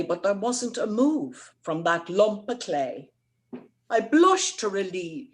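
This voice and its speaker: Woman performing, indignant young woman, scornful and sharp-tongued, very animated pitch, emphatic theatrical comic verse reading.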